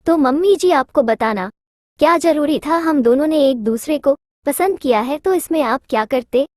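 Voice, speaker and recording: Woman playing a high-voiced, bold young daughter-in-law. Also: expressive, normal speed, darkish tone, dry recording, clean studio sound. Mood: defiant. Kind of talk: acted dialogue in a moral story cartoon.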